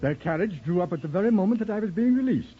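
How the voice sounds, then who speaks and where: An actor playing a courteous, dignified university dean with a husky voice and formal manner in a 1940s radio drama. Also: male voice, high voice, lively intonation, fast, a little hiss or hum. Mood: relieved, gracious. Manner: formal, stately. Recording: dull old radio recording.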